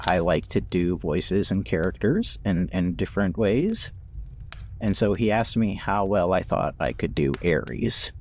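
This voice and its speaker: Low-voiced man chatting casually. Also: a little mellow, a little hiss or hum. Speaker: podcast cast member and producer. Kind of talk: casual chat.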